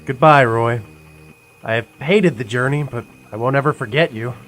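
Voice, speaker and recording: An actor playing a sarcastic, excitable male sidekick and guide in an audio drama. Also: medium pitch, normal speed, a little hiss or hum, close to an everyday voice. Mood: distressed.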